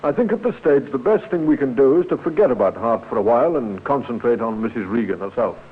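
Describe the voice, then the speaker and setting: An actor playing a calm, practical police inspector with an authoritative manner in a 1950s radio drama. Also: male voice, medium pitch, quick pace, slightly rough. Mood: businesslike. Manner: matter-of-fact, authoritative. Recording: tinny, noisy 1950s radio recording.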